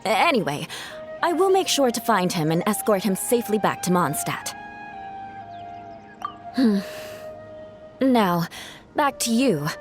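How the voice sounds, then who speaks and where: A woman's voice: medium pitch, big pitch swings, takes it slowly, pauses often, music in the background. An actress playing a proud, composed young woman knight with a dry, haughty manner, in a game. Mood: resolute. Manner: poised, dryly haughty. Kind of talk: game voice acting.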